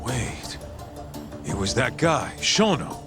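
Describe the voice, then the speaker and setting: A man playing a gruff, blunt private investigator with slangy, wry talk in a video game cutscene. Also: mid-range voice, sing-song, takes it slowly, music in the background. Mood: matter-of-fact.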